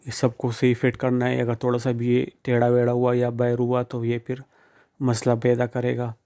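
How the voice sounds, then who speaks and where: A man's voice: mid-range voice, completely flat delivery, moderate pace, warm tone, clear, resonant tone. A man giving plain, informal step-by-step how-to instructions, speaking directly to the viewer. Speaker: phone repair tutorial presenter.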